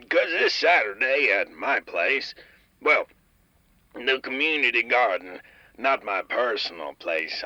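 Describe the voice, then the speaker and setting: A man's voice: fairly low pitch, pushed and bright, no echo, dull audio, heavily acted. A man playing an awkward, well-meaning community gardener who rambles and corrects himself through a homemade radio ad in an audio drama. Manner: hesitant, halting, self-correcting.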